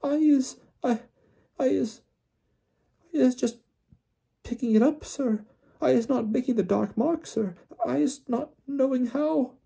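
A man voicing a small, terrified female house-elf servant in an audiobook, squeaky, stammering and pleading tearfully. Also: measured pace, creaky, long pauses, dark tone, slightly rough, heavily acted. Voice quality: squeaky, little voice. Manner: stammering, gasping, broken grammar. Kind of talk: audiobook character voice.

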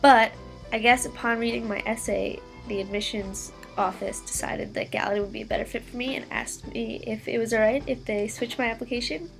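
Creaky, higher-voiced teenage woman. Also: steady tone, fairly quick, low-quality recording. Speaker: incoming university student. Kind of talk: casual webcam introduction. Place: small bedroom, indoors. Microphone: laptop webcam at arm's length. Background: faint music.

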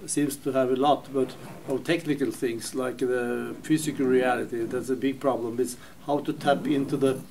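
A man's voice: medium pitch, steady tone, normal speed, creaky, hardly any pauses, darkish tone, a little hiss or hum. A man in the audience asking a hesitant technical question, restarting often.

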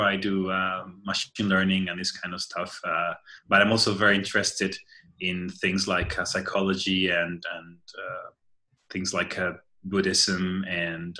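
A man in his thirties from Argentina, an engineer, speaking thoughtfully on a video call and pausing to search for words. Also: low voice, sharp tone. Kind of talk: casual video call chat. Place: home room by a window. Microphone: laptop webcam on a video call.

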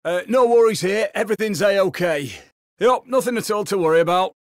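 A man's voice: sits high, brisk, no room sound, clean studio sound, natural voice. A man with a British accent voicing a hapless, cheeky video game crook who bluffs sheepishly as a guard. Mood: bluffing, casual. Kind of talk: game voice lines over radio.

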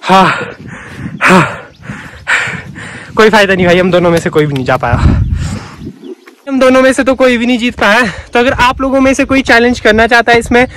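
A young man filming himself on a phone for a casual challenge vlog, outdoors on a hillside and crawling into a cave, chatting excitedly and calling his friend bhai. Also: extremely high pitch, normal speed, slight background noise. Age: young adult. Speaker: vlogger. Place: outdoors on a green hillside and inside a narrow cave. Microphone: phone camera at arm's length.

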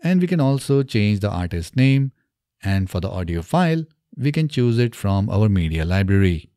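Low-pitched, lively male tutorial presenter. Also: normal speed, no room sound, clean studio sound. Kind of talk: step-by-step screen tutorial narration. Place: small indoor home studio. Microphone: close studio microphone on boom arm.

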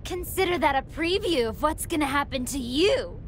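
A woman voicing a taunting, predatory android villainess with a sultry, unhurried manner in an anime game. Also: high voice, animated, slight background noise.

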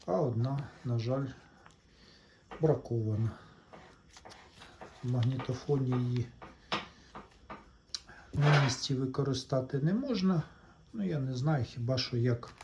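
Man, hobby channel host, mid-pitched, unhurried delivery, casual demonstration, short friendly-address remarks, faint noise behind. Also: warm tone. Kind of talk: casual hands-on demonstration vlog.

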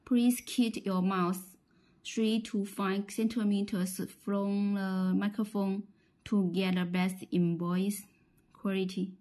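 Slow-speaking Chinese-accented woman demonstrating a product. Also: mid-range voice, flat delivery, mellow, low-quality recording. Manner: halting, repeats phrases.

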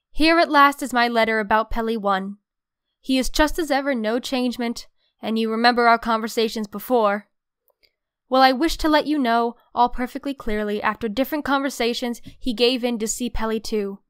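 A smooth, clear and rather high voice of an actress as an earnest aristocratic aunt in a period audio drama. She reads her letter with pious, pleading concern for young lovers. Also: normal speed, a bit bright, natural voice. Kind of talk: dramatic reading of an 1890s letter.

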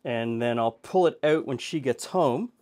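A man's voice: medium pitch, a little slow, slight background noise. A middle-aged man, hosting a casual home cooking show and chatting through the steps with easy fillers. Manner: relaxed, chatty, thinking aloud with fillers.

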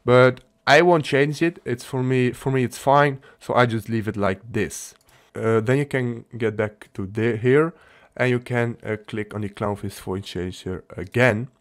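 A man narrating a casual software tutorial as its host, explaining step by step in a halting way. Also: mid-range voice, steady tone.

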